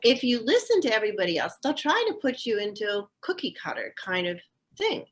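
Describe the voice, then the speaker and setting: A woman's voice: on the high side, sing-song, brisk. An older woman, an astrologer being interviewed, who talks in an emphatic, self-assured and talkative way. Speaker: astrologer guest. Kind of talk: casual interview conversation.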